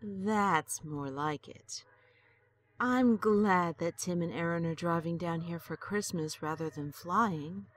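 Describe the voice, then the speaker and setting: An actress playing an elderly grandmother and wife, gentle and earnest, in an audio drama. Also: on the low side, takes it slowly. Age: older. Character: elderly grandmother, lonely and worried.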